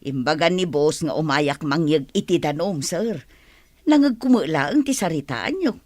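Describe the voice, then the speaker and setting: A woman's voice: deep voice, lively intonation, normal speed, a little mellow, dry recording. Radio drama maid, female actor: nosy Ilocano helper, cheeky, bouncing intonation, dry recording. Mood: prying.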